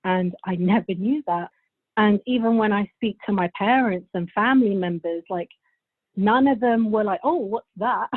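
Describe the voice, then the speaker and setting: A woman's voice: mid-range voice, no echo, phone-quality sound. A thirty-year-old woman from Bulgaria who moved to England as a child, chatting casually as a podcast guest. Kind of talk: casual interview chat.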